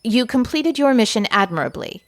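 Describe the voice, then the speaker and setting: A woman playing a stern, composed spy agency boss in an audio comedy, formal and deadpan. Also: fairly high pitch, normal speed. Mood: composed, dry. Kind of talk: audio comedy drama acting.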